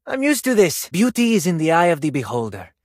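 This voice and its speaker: A husky, flamboyant Spanish dancer. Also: male voice, on the high side, wildly swooping pitch, fairly quick, no echo, clean studio sound. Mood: smug. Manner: theatrical, self-admiring, dramatic. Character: vain, flamboyant, graceful Spanish male ballet dancer. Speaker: video game voice actor. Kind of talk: video game character voice lines.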